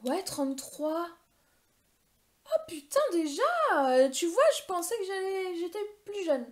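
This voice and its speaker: A woman's voice: very high-pitched, animated, a little slow. A woman in her thirties answering viewer questions in a casual vlog, chatty and rambling, with many hesitations and self-mocking humor. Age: 30s. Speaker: beauty YouTuber.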